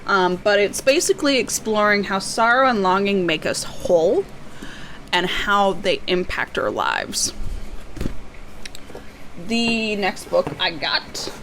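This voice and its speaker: A woman with a slightly hoarse, tiring voice, chatting casually and a bit self-deprecatingly as she presents an unboxing in a rambling way. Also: medium pitch, animated, slow pace, fairly bright tone, slight background noise, poor audio. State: losing her voice, slightly hoarse. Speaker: book vlogger.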